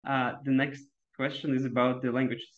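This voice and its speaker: A man's voice: mid-range voice, level tone, normal speed. A young man interviewing over a video call and reading out prepared questions. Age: young adult. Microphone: video call webcam. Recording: dull lo-fi webcam audio.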